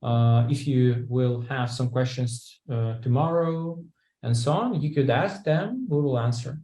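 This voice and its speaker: Man, mellow tone, organizer, hurried, stammering, echoey room. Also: medium pitch. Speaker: program organizer. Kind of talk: online info session Q&A.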